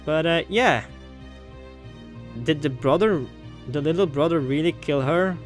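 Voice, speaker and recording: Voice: mid-range voice, measured pace, faint music behind. A video game streamer with a casual, sweary manner, thinking aloud while reading through and commenting on a visual novel.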